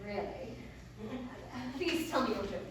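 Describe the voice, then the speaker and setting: A woman's voice: mid-range voice, animated, moderate pace, lightly acted. An actress playing a skeptical, teasing woman who humours her friend with dry exasperation in comic stage dialogue. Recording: roomy echo, distant mic, faint noise.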